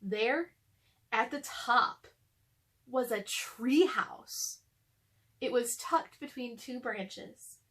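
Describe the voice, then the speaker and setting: High-voiced young woman, unhurried story reader. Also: a bit bright. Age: young adult. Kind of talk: casual chat and storybook read-aloud for children.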